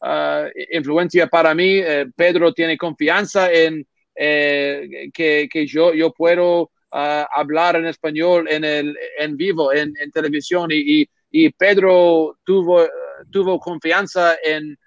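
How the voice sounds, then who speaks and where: Halting man speaking a learned language. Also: fairly high pitch, steady tone, no room sound. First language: English. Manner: halting, many restarts and filler sounds. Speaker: podcast guest, baseball reporter. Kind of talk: casual interview talk in a second language. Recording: dull, dry remote audio.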